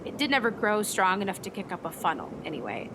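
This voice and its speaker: Earnest woman storm chaser over rumbling noise, acted. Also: sing-song, quick pace, close to an everyday voice. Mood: wistful, reflective. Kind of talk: naturalistic radio play acting.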